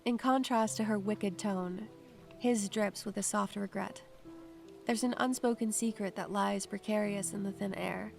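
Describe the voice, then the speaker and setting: A woman playing an anxious, observant first-person narrator in a scripted audio drama, measured and literary. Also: medium pitch, steady tone, vocal fry, darkish tone, soft background music. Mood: tense, hushed.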